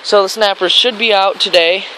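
A man's voice: high voice, fairly even intonation, normal speed, bright, forceful tone, poor audio. An American man narrating a casual fishing vlog in a rambling, enthusiastic way, recorded on a handheld camera outdoors. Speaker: fishing vlogger. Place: outdoors on a grassy riverbank. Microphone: handheld camera mic. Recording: outdoor handheld camera, stream and traffic noise.

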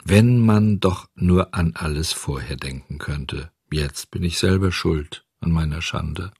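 A hard-tried old storybook father , voiced by a man, blaming himself. The voice is deep and husky, plaintive and sorrowful, recorded dry. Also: takes it slowly, a little mellow, dry recording, exaggerated voice. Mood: weary, sorrowful. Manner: plaintive, self-reproaching. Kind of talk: storybook audiobook character voice.

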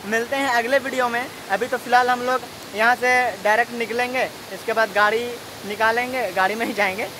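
Chatty man vlogging beside a roaring waterfall. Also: very high-pitched. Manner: chatty, rambling, laughs often. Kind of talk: casual travel vlog talk. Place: outdoors by a waterfall. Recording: loud hiss of falling water.

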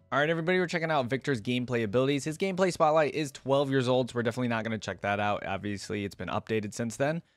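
A man's voice: mid-range voice, dry recording. A man in his thirties doing casual, conversational reaction commentary and story reading, close on a desk microphone. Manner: conversational, checks in with "you know". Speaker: reaction video host. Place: small indoor streaming room.

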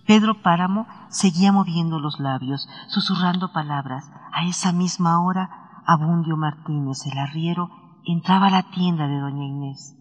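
A man's voice: fairly high pitch, moderate pace, muffled recording, close to an everyday voice. A man acting as a villager who recounts a dead town's tragic past in a radio drama. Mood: somber storytelling. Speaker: storyteller in an audio drama. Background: music.

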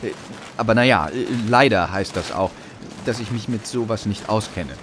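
A man's voice: fairly low pitch, moderate pace, fairly bright tone, slight background noise, natural voice. An actor playing an eager, deferential village policeman in a German radio drama, hesitant and polite. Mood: flustered, respectful.

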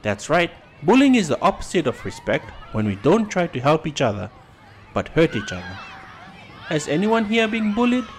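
Youth football coach, high voice, animated delivery, upbeat, instructive, cartoon. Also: male voice, normal speed, some chatter behind, a little hiss or hum. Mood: encouraging. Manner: encouraging, instructive. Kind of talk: animated motion comic acting.

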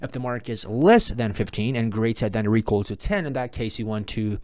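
A man's voice: lowish voice, fairly quick, a little mellow, dry recording. Guy walking thru code, keeps saying "in that case", dry sound. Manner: repetitive, explanatory, uses "in that case" and "I mean" often. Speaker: programming instructor. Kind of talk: screen-recorded coding tutorial.

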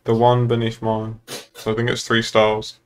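A man's voice: on the low side, completely flat delivery, normal speed, a crowd in the background. Dry, teasing young British man. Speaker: streamer roleplaying a police chief. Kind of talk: casual game roleplay banter.

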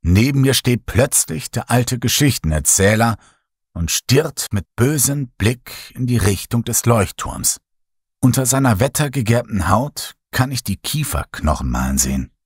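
A man narrating a first-person horror story as an audiobook, in a slow, hushed, dramatic way. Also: medium pitch, animated, breathy edge, dry recording, clean studio sound.

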